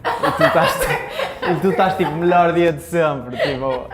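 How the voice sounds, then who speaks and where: A young man from Portugal with a European Portuguese accent, chatting casually in a relaxed, agreeable way. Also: on the high side, normal speed, heavy background noise. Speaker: conversation guest. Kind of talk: casual chat.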